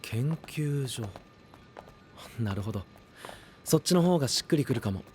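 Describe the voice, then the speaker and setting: Casting: male actor as easygoing young straight man, very animated mid-range voice, tactful yet quick to retort. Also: mid-range voice, faint music behind, close to an everyday voice. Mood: tactful, probing. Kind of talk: anime-style audio drama acting.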